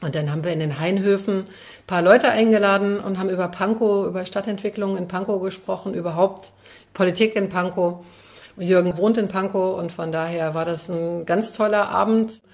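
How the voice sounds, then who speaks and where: A middle-aged woman from Hesse talks in a relaxed, chatty interview. She speaks at a middling pitch, lively and quick to laugh, with an easy conversational flow. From Hesse, Germany. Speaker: district mayor, interview guest.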